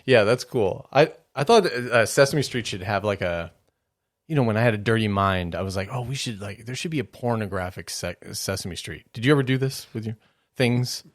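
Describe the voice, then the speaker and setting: Man, 40s, podcast host, laughs a lot, lots of fillers, fairly low voice, dry recording. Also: moderate pace, no room sound. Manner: giggly, hesitant, full of fillers. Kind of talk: casual interview chat.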